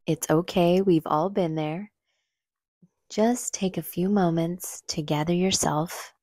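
Soft mellow lady doing a guided meditation, super slow and calming, breathy at the ends of phrases. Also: on the low side, dry recording, studio quality. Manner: soothing, gentle, unhurried with soft breathy phrase endings. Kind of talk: calm guided meditation narration.

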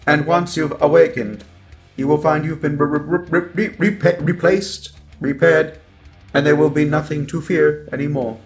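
A man voicing a coldly superior, menacing robot overlord in a roleplay monologue, with deliberate delivery and stuttering glitches on words. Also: a little high, steady tone, faint music behind, close to an everyday voice. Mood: menacing, controlling.

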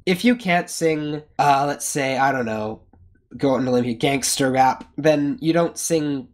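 A man's voice: mid-range voice, measured pace. Chatty self-deprecating male guest. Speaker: guest vocalist. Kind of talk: casual podcast chat.

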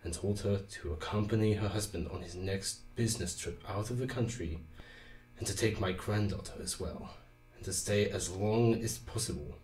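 A man's voice: lowish voice, moderate pace, a little mellow, close to an everyday voice. A man playing a grave older grandfather, a doctor recounting disaster in an audio drama monologue. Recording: echoey, slightly distant, faint music.

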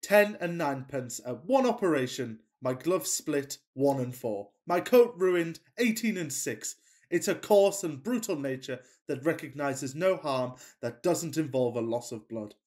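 Man acting a florid, melodramatic Victorian gentleman. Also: on the high side, lively intonation, measured pace. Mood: indignant. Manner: declamatory, pompous, florid. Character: melodramatic, fussy Victorian gentleman suitor, self-pitying and passionate. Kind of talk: farcical radio play acting.

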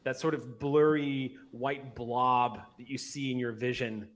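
A man's voice: mid-range voice, steady tone, unhurried. Middle-aged man narrating as game master. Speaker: game master of an online roleplay session. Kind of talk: narrating a tabletop roleplay game. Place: indoor room, on a group video call. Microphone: microphone on an arm, close to mouth.

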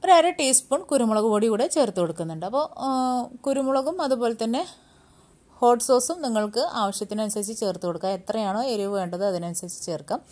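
A woman narrating a home cooking tutorial at a rapid clip, her voice sitting at an ordinary middle pitch. She explains each step plainly and briskly, like a host talking her viewers through the recipe, with English words woven into her speech. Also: darkish tone.

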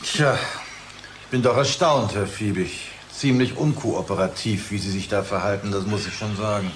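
An actor playing a brisk, pushy male company boss chairing a meeting in a radio play. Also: lowish voice, lightly acted. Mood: pressing. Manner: brisk, managerial, pressing. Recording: faint background room noise.

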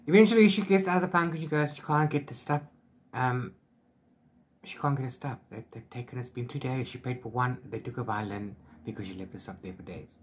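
A middle-aged man chatting casually as he recaps a book, rambling along in an animated retelling. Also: medium pitch, a little fast, slight background noise. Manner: rambling, animated retelling with filler sing-song syllables. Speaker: book reviewer vlogger. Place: small book-lined room at home.

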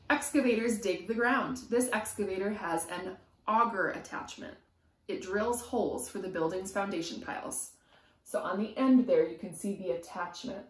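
A woman reads aloud to preschoolers in an unhurried, rhythmic teacher's voice. She leans expressively on words and sounds, with a medium pitch. The recording is roomy, as if from a camera a few feet away indoors. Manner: rhythmic, sing-song, emphatic on sound words. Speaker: preschool teacher reading storytime. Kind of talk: expressive read-aloud for young children. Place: small room at home, indoors. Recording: roomy echo from camera distance.

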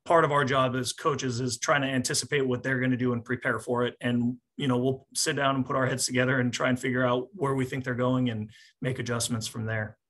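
A man in his forties with a bright, forward voice at a middling pitch. He talks like a coach after a match, explaining steadily and in measured phrases. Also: flat delivery. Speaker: volleyball coach. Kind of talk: post-match press conference answers. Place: small indoor office, on a video call.

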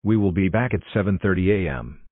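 A man's voice: deep voice, animated, brisk, dry recording, phone-quality sound. A man reading scripted television announcements as a channel announcer and newsreader.

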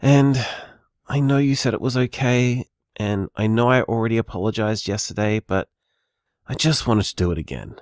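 Guy playing an anxious apologetic boyfriend on voicemail, audio drama, kinda low voice, hesitant and sincere. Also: normal speed, darkish tone, dry recording, clean studio sound, lightly acted. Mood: anxious, sorry. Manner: hesitant, earnest, sighing. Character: conscientious, anxious, apologetic man, loving partner, on voicemail. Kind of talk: intimate audio drama monologue.

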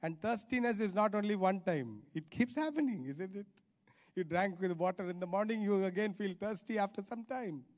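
High-pitched male preacher. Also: lively intonation, moderate pace, a little mellow. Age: middle-aged. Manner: emphatic, repeats key phrases, rhetorical tag questions. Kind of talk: sermon. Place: large church auditorium stage, indoors. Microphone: handheld microphone.

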